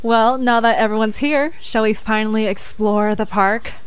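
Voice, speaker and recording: A woman's voice: a little high, fairly even intonation, moderate pace, smooth, no room sound, lightly acted. A woman playing an upbeat, wry young woman in an audio drama. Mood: wry, curious.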